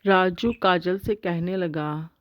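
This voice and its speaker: A woman's voice: lowish voice, fairly even intonation, takes it slowly, dull audio. A woman reading scripted narration for a bedtime cartoon story.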